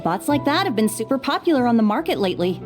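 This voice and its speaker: A woman's voice: fairly high pitch, sing-song, quick pace, music in the background. A woman voicing a chatty, friendly tinkerer who deals in scrap metal in a video game.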